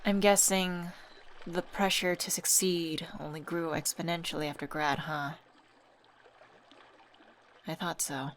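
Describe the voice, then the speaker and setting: A woman voice actor playing a supportive, gently teasing young best friend in a scripted ASMR roleplay, talking casually and intimately. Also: sits low, fairly even intonation, a little slow, long pauses, a little hiss or hum. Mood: reassuring.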